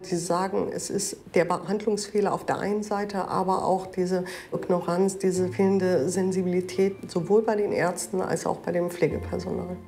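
A woman lawyer giving a measured expert explanation in an interview. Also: lowish voice, level tone, mellow.

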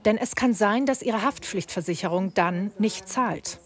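A woman's voice: mid-range voice, measured pace. A woman reporter reading scripted narration for a documentary news report.

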